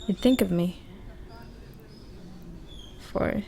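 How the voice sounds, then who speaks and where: Woman acting a hesitant, slow-spoken lovestruck young woman. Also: medium pitch, warm tone, slight background noise. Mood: wistful, confused. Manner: halting, hesitant, repeating words, breathy pauses and sighs. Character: vulnerable young woman confessing love to old crush. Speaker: ASMR roleplay performer. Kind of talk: ASMR roleplay, intimate romantic confession.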